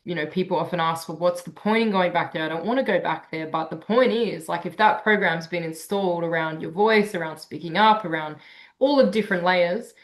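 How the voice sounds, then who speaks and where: A woman sound healer speaks in a lowish voice, earnest and unhurried. She explains her practice in a casual online session, with frequent fillers and restarts.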